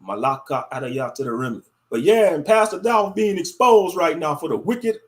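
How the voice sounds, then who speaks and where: Male livestream host, Louisiana accent, somewhat high voice, casual and colloquial, repeats himself for emphasis. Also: expressive, normal speed. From Louisiana, United States. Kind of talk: casual livestream commentary reading viewer comments.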